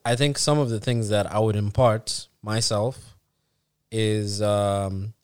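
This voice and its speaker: A man from Africa with a lowish voice talks through his point in a casual podcast chat. He restarts his sentences and drops in "you know" often. The sound is close and dry, with no room around it. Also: fairly even intonation, takes it slowly, a little mellow, clean tone, no echo. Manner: explains at length with frequent restarts and "you know". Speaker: podcast co-host.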